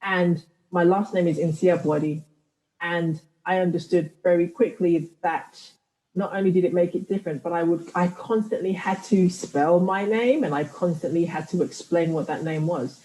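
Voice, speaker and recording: A woman's voice: fairly low pitch, steady tone, normal speed, mellow. Reflective woman in an echoey room. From United Kingdom. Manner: reflective, thoughtful, many restarts. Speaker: guest, writer and podcaster. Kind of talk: conversational interview.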